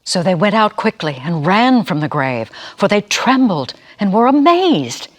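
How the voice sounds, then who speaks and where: A narrator giving an expressive, dramatic reading of a scripture story. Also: medium pitch, unhurried. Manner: expressive, acts out quoted speakers.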